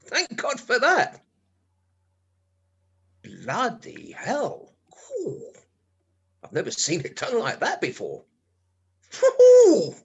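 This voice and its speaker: An actor playing a cheeky, henpecked middle-aged British husband in a comic radio play, confiding in a mock-apologetic way full of innuendo. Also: male voice, fairly high pitch, breathy edge, heavily acted. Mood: excited. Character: cheeky, henpecked, sex-starved husband with a telescope.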